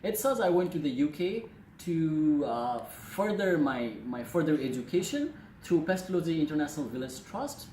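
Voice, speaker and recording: A young man with a Nepali accent gives an earnest, formal student presentation. His voice sits a bit high. The room is echoey, with faint noise behind him. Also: warm tone. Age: 20s. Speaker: student speaker. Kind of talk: prepared personal presentation.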